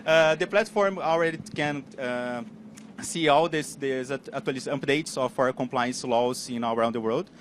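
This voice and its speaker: Brazilian guy pitching in choppy english, loud tram and street noise all around. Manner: halting, searching for words in English. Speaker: startup CEO pitching. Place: on a moving tram.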